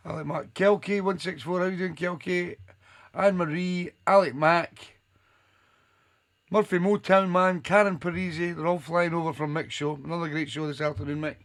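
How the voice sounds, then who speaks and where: Gravelly-voiced radio DJ. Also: male voice, fairly high pitch, fairly even intonation, normal speed, fairly bright tone. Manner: chatty, reels off listener names. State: gravelly, hoarse voice after a night out. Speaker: radio DJ and host. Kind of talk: live online radio show, greeting listeners by name. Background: soul music playing.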